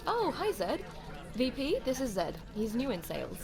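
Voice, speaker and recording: A woman's voice: high voice, huge pitch swings, normal speed, music in the background, crowd noise, exaggerated voice. A woman playing a chatty, gossipy young office friend in an audio roleplay, talking in a playful, conversational way. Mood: awkward, covering up.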